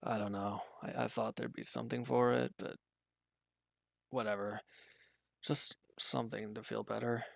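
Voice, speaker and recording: A man playing an injured, weary villain in a roleplay audio drama, guarded and quietly considerate, speaking in halting, hesitant lines. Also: level tone, creaky, no echo, clean studio sound. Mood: weary, reluctant. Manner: hesitant, halting, low-key, grudging. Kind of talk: roleplay audio drama, intimate one-sided dialogue.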